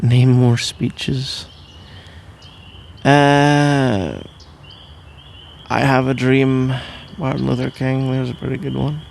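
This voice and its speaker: Man acting as drowsy affectionate husband, creaky voice, unhurried halting rambles, intimate ASMR roleplay, faint background noise. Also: mid-range voice, fairly even intonation, a little mellow. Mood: musing, rambling. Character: sleepy, cuddly, persuasive husband, playfully melodramatic, fond of tangents.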